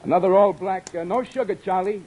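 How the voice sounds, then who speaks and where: An actor playing a weary, wry beat cop in a 1950s radio drama, talking in dry streetwise wisecracks. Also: male voice, sits high, brisk, darkish tone. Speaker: police officer narrating.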